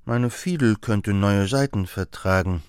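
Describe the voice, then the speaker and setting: Casting: a confident, sharp-minded detective with a lowish voice, voiced by a male reader and brisk, assured reasoning. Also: clear, resonant tone, no echo, studio quality, lightly acted.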